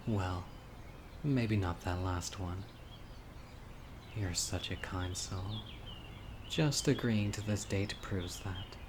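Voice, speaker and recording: A low-pitched male actor's portrayal of a sickly young man with an obsessive streak. He muses earnestly and softly to his date in an intimate audio drama, wistful throughout. Birds and insects are clearly audible behind him. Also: slow pace, pauses often. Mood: confiding. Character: critically ill young man, wistful, obsessive yandere witch. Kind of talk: intimate scripted audio roleplay monologue. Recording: clear outdoor insect and bird noise. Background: birdsong, crickets and insects of a lakeside.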